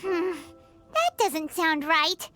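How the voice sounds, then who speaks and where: An actress voicing a tiny, chatty female fairy companion in a video game, speaking of herself in the third person with a squeaky, childlike voice. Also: very animated, extremely slow, loud music behind the voice, exaggerated voice. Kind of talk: video game cutscene dialogue.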